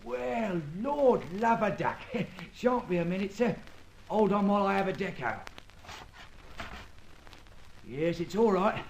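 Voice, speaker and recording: Chuckly cockney old crook, folksy, bit of hiss on the tape. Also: male voice, sits high, lively intonation, moderate pace, creaky, poor audio. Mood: delighted. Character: older male ex-safecracker, cheerful and loyal. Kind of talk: 1940s radio acting.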